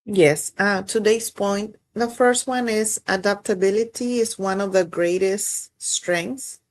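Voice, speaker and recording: A woman from Mexico, a panelist, reading discussion points aloud in a plain, list-like way. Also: mid-range voice.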